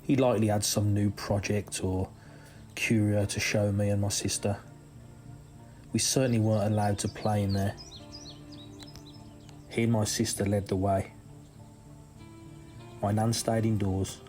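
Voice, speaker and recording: Male story reader, lowish pitch, measured scripted first-person narration with careful pauses, music bed. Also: little pitch movement, darkish tone. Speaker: reader of a listener's true story. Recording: music under the voice.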